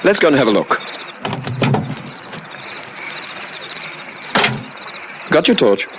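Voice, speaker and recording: A man's voice: on the high side, very animated, fast. An actor playing a knowing, matter-of-fact patrol policeman in an old radio drama. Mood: brisk, alert. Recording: very noisy vintage radio drama, vehicle sounds.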